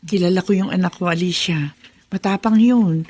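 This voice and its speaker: A woman's voice: lowish voice, normal speed, darkish tone, natural voice. A woman acting a good-natured, chatty Filipino widowed mother in a radio drama. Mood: cheerful, reassuring. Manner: chatty, reassuring.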